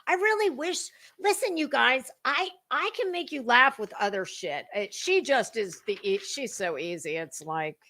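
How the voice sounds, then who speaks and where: A woman's voice: a little high, lively intonation, moderate pace, hardly any pauses, pushed and bright. A woman hosting a gossip stream, giving a dramatic reading with sarcastic, mocking commentary in a theatrical, sassy way. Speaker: host of a gossip commentary livestream.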